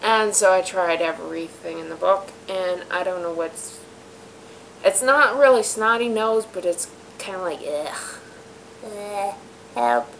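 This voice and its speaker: A woman hosting her home web show in a rambling, self-deprecating monologue, her voice raspy from being sick. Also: mid-range voice, fairly bright tone, a little hiss or hum, rough recording. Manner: chatty, self-deprecating, many fillers and trailing asides. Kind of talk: rambling casual monologue to viewers. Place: room in a house.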